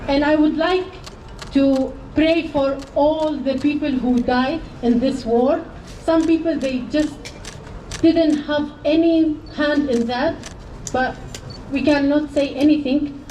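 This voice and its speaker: A woman from Syria giving an earnest, halting speech at an outdoor protest. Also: sits high, steady tone, dark tone. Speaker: protest speaker. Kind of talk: rally speech. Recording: echoey and distant with faint noise.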